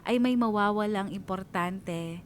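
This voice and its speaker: Slightly low-voiced woman narrating a sentimental story. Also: a little slow. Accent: Filipino. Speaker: radio DJ reading a listener's story. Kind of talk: scripted, dramatic first-person story narration.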